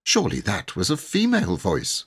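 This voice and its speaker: A man's voice: fairly low pitch, huge pitch swings, creaky, slightly rough, full character voice. A man voicing a proper, stern village vicar in a storybook, with formal and severe phrasing. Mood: cautious, formal. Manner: formal, severe, measured wording.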